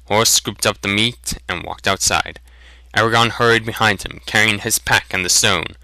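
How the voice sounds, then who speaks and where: A man's voice: on the low side, fairly even intonation, normal speed, pushed and bright, no room sound, rough recording. A man giving a scripted dramatic narration of a fantasy story.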